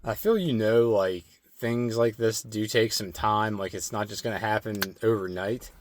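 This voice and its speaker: A man giving a slow, meditative spirit card reading with a strained, slightly hoarse throat and many filler words. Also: lowish voice, a little hiss or hum. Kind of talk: intuitive oracle card reading.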